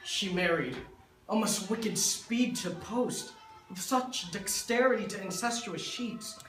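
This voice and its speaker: A man as high-voiced bitter grieving young prince. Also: takes it slowly, fairly bright tone. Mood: indignant. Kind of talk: dramatic Shakespearean recitation. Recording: echoey room with music behind.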